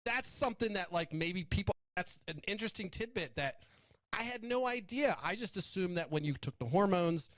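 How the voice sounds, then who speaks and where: A man's voice: high voice, expressive, moderate pace, fairly bright tone, no room sound. A man hosting a casual interview, asking curious questions and sometimes searching for his words. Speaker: podcast host.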